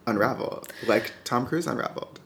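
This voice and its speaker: A young man talks casually as a podcast guest. He is slangy, gushing and sweary, often letting his sentences trail off, in a mid-pitched voice. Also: brisk, gravelly, a bit bright. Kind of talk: casual chat.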